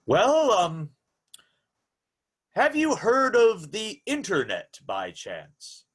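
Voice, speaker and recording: A man's voice: high-pitched, sing-song, very slow, husky, exaggerated voice. A man playing a formal, polite old-time radio hour host, announcing in a theatrical, scripted showman's style. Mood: genial, showy.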